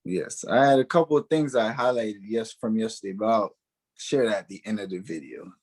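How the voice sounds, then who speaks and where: A man's voice: lowish voice, fairly quick, a little mellow, breathy edge. A man in his early twenties, born in Haiti and raised in the United States, giving a personal spiritual testimony in an earnest way, repeating key words for emphasis.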